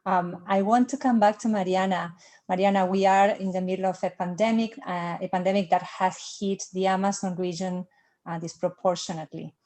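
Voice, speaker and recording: A woman moderating an online panel, asking long, measured questions over a video call. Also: mid-range voice, fairly even intonation, a little mellow.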